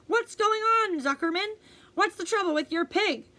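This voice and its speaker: A woman's voice: very high voice, animated, moderate pace, pushed and bright, pitched above the performer's own voice, full character voice. A woman voicing a grand male announcer at a county fair, formal and ceremonious. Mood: alarmed.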